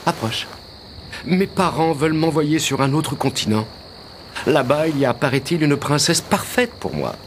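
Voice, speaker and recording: An actor voicing an earnest, affectionate young prince in an animated fairy tale, with a tender, theatrical delivery. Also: male voice, on the high side, normal speed, slight background noise. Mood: tender, confiding. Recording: music under the dialogue.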